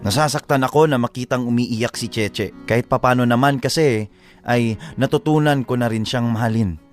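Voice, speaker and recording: A man plays a reflective young Filipino narrator in an audio drama. His medium-pitched voice confides earnestly in scripted first person, over clear backing music. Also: moderate pace, lightly acted. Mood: confessional.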